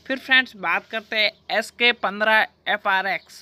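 A bright, forceful voice narrates a product explainer. The delivery is scripted and formulaic, moving through details as a repeated list in the same pattern each time. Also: mid-range voice, normal speed. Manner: repetitive, formulaic listing.

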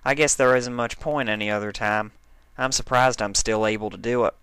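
A man plays a country mare from a cartoon storybook. She is folksy, modest and easygoing. The voice is lifted a little and has a bright, crisp edge. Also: mid-range voice, steady tone, a little fast, no echo, pitched above the performer's own voice, close to an everyday voice. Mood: bashful, modest.

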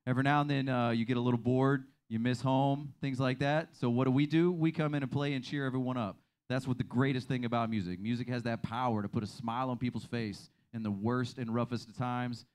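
A man from the United States answering a host's questions on a small venue stage, talking in a relaxed, good-humored way through a handheld mic. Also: mid-range voice, brisk, few pauses, clean tone. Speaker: band leader.

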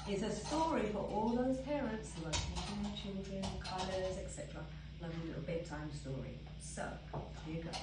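A woman's voice: medium pitch, normal speed, loud music behind the voice. A middle-aged woman reading a children's story aloud expressively, in an echoey room far from the mic. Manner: expressive, asks the listeners questions. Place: small tiled living room indoors. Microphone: phone camera a few metres away.

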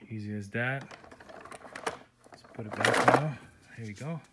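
A man's voice: medium pitch, a little slow, very noisy. A man casually commenting while he unboxes and tests adjustable dumbbells, repeating short stock phrases. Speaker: product reviewer. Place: room with foam floor mats, indoors.

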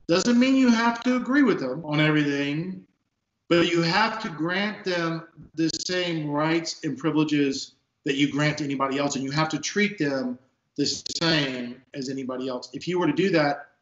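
A man in his fifties from Texas, a police sergeant, speaking as an interview guest in earnest, emphatic talk with a big booming voice, recorded on a video call from an office. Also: normal speed, a bit bright. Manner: emphatic, repeats words when stressing a point. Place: office at a desk. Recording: echoey, dull video call audio.

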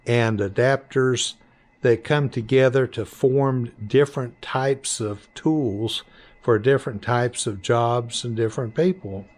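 An older man in his sixties or beyond, informally explaining and demonstrating products in deliberate, halting phrases with pauses. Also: medium pitch, darkish tone. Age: 60s or older. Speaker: maker presenting his 3D printed products. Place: small indoor workshop.

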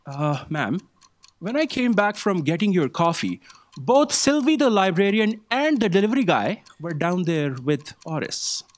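A man's voice: high voice, very animated, measured pace, dry recording. A middle-aged man playing a nervous, deferential office aide in a staged comedy audio drama, hesitant and stammering. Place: theatre stage. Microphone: stand microphone.

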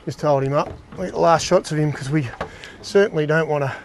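A middle-aged man hosting a fishing show, giving excited, exclaiming commentary from a small boat. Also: on the high side, fairly quick, slight background noise. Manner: enthusiastic, exclaiming, talks to the fish and his mate.

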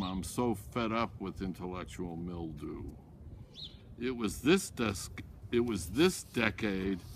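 An older man reading his own poetry aloud in a deliberate, declamatory way. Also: fairly low pitch. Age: older, 60s or 70s. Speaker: poet reading own work. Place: outdoors in a backyard. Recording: outdoor ambience with birds, background noise.

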